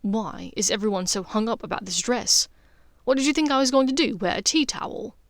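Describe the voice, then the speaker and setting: A woman voicing an embarrassed young wizard son who talks in a halting, begrudging, awkward way. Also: on the high side, lively intonation, dry recording, studio quality. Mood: grumbling.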